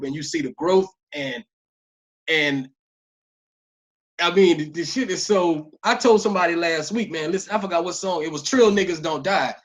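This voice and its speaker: Higher-voiced man, casual podcast interviewer. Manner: informal, slangy. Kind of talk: casual enthusiastic hip-hop interview chat.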